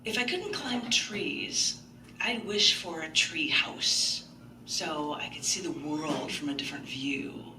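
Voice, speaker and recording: A woman's voice: low-pitched, expressive, slow, far from the mic. A woman hosting a live open mic, reading her poetry and chatting between pieces in a large indoor space. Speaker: poet and open mic host. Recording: echoey, noisy room, off-mic.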